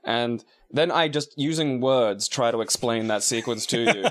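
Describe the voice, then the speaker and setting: A man's voice: medium pitch, normal speed, a bit bright. A young man riffing crudely and sarcastically as co-commentator on a video game, in casual chat. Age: young adult. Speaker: co-commentator, university student.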